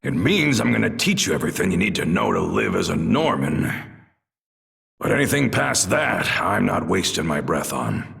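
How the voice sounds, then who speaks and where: An actor playing a stern, seasoned warrior mentor in a video game, gruff and blunt as he instructs. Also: deep voice, sing-song, moderate pace, fairly bright tone, big-room sound, far from the mic, heavily acted. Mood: stern, instructive. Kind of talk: video game cutscene voice acting.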